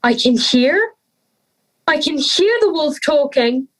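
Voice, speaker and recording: Schoolgirl lead for audio drama, young female actor: anxious, earnest, high and animated, unrushed delivery. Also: slow pace, rough recording. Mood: amazed.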